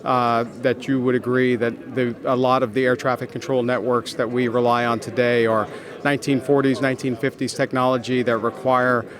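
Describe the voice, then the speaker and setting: A man answering interview questions as a business executive, explaining in halting phrases with frequent pauses and repeated words. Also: medium pitch, level tone, crowd noise. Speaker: consultancy executive, interview guest.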